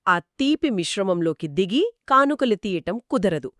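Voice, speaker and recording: A woman narrating a folk tale in a lively, expressive storytelling manner, trading lines with a co-host. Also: mid-range voice, unhurried, dry recording, clean studio sound. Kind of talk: scripted two-voice story narration.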